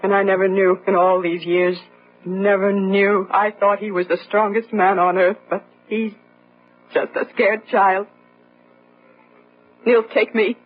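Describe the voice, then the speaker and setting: A muffled old radio drama with faint music frames an actress as a brave, wounded young daughter. Her womanly voice is earnest and halting, questioning those around her with growing disillusion. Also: mid-range voice, flat delivery, close to an everyday voice. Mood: bitter, disillusioned. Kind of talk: gothic radio drama acting.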